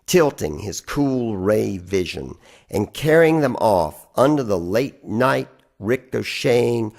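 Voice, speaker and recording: Male poetry reader, unhurried and widely inflected, theatrical emphasis on deliberately shaped phrases. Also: on the low side, animated, vocal fry, darkish tone. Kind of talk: dramatic spoken-word poetry recitation.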